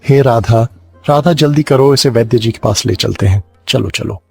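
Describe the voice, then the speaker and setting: A man voicing a kind, humble villager in a cartoon story, earnest and pleading, with a husky, creaky voice. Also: mid-range voice, normal speed, a little mellow, faint music behind. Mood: alarmed.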